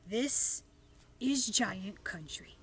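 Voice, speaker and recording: A woman's voice: medium pitch, animated, drawn-out words, a little hiss or hum. A woman voicing a big friendly male giant in a storybook, with garbled folksy grammar and made-up words. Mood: matter-of-fact.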